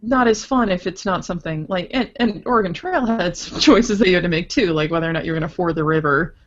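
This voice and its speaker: A slightly dull recording carries a woman with a fairly low voice. She talks casually as a podcast guest, thinking her points through with hypothetical examples. The delivery is conversational and reflective. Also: fast talker. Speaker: podcast guest, interactive fiction writer.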